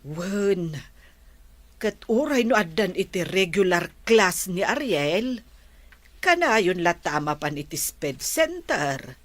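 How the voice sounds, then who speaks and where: Woman acting a slow, low-voiced Ilocano grandmother. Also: sing-song, dry recording, heavily acted. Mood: gentle, explaining. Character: caring, slow-spoken grandmother of a blind student. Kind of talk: Ilocano radio drama acting.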